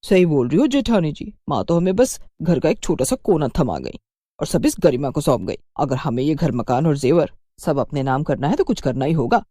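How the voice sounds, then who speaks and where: Woman acting conniving young wife, sly, lively swooping intonation, dry storybook recording. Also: sits low, normal speed, no room sound. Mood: scheming. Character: conniving younger daughter-in-law plotting against her sister-in-law.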